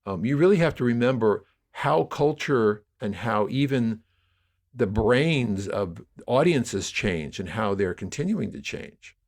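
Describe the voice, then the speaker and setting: A man's voice: lowish voice, lively intonation, measured pace. A man speaking as an interview guest, explaining at length in a discursive, emphatic storytelling way. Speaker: podcast guest, audio drama producer. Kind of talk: conversational interview, explaining media history.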